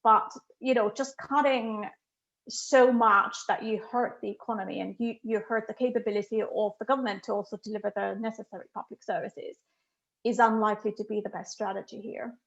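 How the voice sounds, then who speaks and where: A woman's voice: mid-range voice, fairly even intonation, a little fast, gravelly, dull audio. A middle-aged woman from Finland, a guest economist answering questions in an online talk, speaking emphatically and thoughtfully with frequent "you know" fillers.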